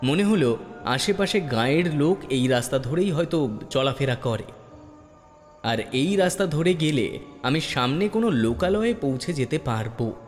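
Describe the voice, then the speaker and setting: A man's voice: fairly high pitch, lively intonation, moderate pace. A man narrating a ghost story in the first person, in a dramatic storytelling style. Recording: long reverb with music bed. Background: background music.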